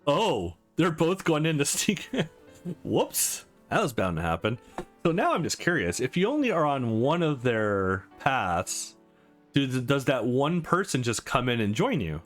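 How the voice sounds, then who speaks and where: A man's voice: mid-range voice, very animated, measured pace, a bit bright. A man hosting a let's play, commenting casually on a visual novel as he goes. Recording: music under the voice. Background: game music.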